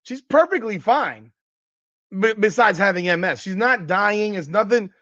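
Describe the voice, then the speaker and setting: A man in his forties with a slightly high voice hosts a sports talk stream, ranting with plenty of cursing and leaping upward when something fires him up. The sound is dry and a little dull and lo-fi. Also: expressive, measured pace, a bit bright, no room sound. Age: 40s. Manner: ranting and profane, voice jumping up in pitch when worked up. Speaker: podcast host. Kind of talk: casual sports talk livestream, reading chat comments.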